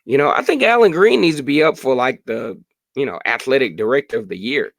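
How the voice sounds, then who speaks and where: A hoarse man in his late thirties hosting a casual sports podcast live stream, chatting freely and answering viewer comments. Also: mid-range voice, lively intonation, a little fast, no echo. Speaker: podcast host.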